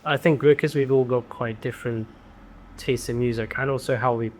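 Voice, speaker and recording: A man's voice: medium pitch, steady tone, brisk. A young man from Devon, a band singer, talking casually in an interview. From Devon, England. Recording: ambient room noise behind.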